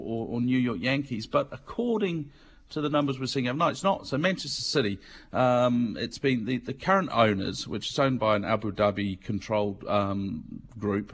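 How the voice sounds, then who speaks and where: A man's voice: mid-range voice, normal speed, husky. A middle-aged man from England with a Mancunian accent, giving a chatty business and markets segment on the radio and explaining things in plain words. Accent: Mancunian English. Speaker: financial markets commentator.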